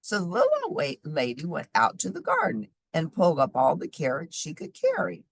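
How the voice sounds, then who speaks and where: A woman reading a children's story aloud expressively, with sing-song repetition and deliberate emphasis. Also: low-pitched, wildly swooping pitch, vocal fry, breathy edge, no echo. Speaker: storybook reader.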